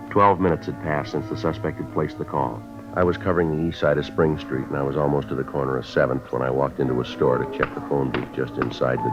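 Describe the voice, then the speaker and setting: A stoic police detective with an extremely low voice, clipped and deadpan. He sounds businesslike in a vintage radio crime drama, with a dull broadcast sound and music behind him. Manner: clipped, matter-of-fact, deadpan. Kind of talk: 1950s radio crime drama narration and dialogue. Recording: muffled old radio broadcast.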